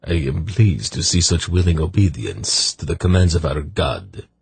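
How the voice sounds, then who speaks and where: A cruel disciple, acted by a male storyteller, deep and gravelly. He intones archaic speech slowly and sardonically, with a threat under every phrase, in a fantasy audiobook. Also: animated, dry recording, exaggerated voice. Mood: veiled menace.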